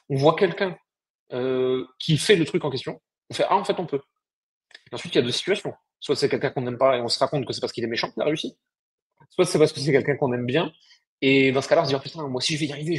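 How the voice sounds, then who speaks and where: Fast-talking blunt Frenchman. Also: medium pitch. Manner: brisk, blunt, slangy explanations. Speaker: podcast co-host, agency founder. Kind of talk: casual business chat.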